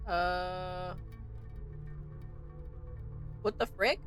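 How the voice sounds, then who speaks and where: A woman's voice: lowish voice, steady tone, very slow, music in the background. A young woman doing casual let's play commentary, reacting informally and reading on-screen text aloud. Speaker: streamer playing and reacting to a game.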